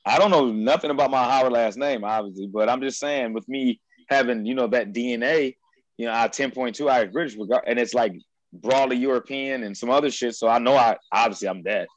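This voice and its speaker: Excitable slangy man, dry sound. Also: medium pitch, fairly quick, no echo, low-quality recording. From United States. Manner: excitable, slangy, repeats himself. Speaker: podcast host. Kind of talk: casual chat. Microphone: headphones with mic on a video call.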